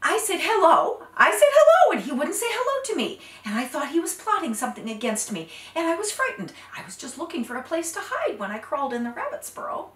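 A woman voicing a frightened male python who rushes out nervous excuses in a high voice. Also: big pitch swings, a bit bright, echoey room, recorded from a distance, exaggerated voice. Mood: anxious.

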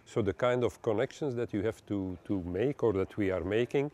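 Mellow low-voiced man explaining. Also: normal speed. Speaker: head of engineering, interviewee. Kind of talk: explanatory interview answers. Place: large indoor operations room. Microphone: lapel mic.

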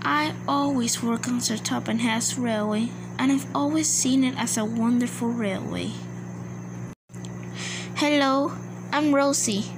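A woman's voice: fairly high pitch, unhurried. A woman voicing a polite, proud young steam engine for a cartoon, giving an earnest introduction. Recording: noisy home recording with hum.

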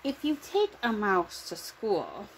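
A woman's voice: mid-range voice, wildly swooping pitch, takes it slowly, slight background noise, rough recording. A middle-aged woman reading a children's picture book aloud. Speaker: children's story reader.

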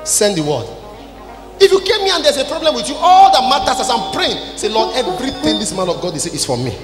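A man's voice: very high voice, big pitch swings, fairly quick. A Nigerian man in his forties preaching to a congregation in an emphatic, scolding way, in short declarative phrases. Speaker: preacher, apostle leading a church service. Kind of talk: sermon, addressing a congregation. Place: large church hall, on stage. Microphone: handheld microphone. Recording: echoey large hall PA, music behind.

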